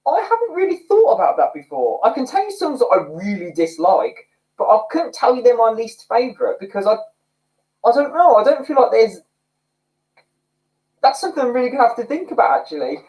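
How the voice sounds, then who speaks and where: A man's voice: extremely high pitch, very animated, a little fast, darkish tone, rough recording. Giggly guy doing a chatty home livestream, voice jumps all over. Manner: chatty, giggly, self-mocking, laughs and snorts often. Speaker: livestream host. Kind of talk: casual chat reading viewer comments. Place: home, indoors.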